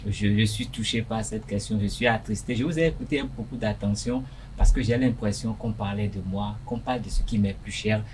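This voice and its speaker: A man's voice: lowish voice, a little fast, a little hiss or hum. A man co-hosting a televised panel debate as a journalist, pressing the guest with earnest, probing questions. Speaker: journalist co-host.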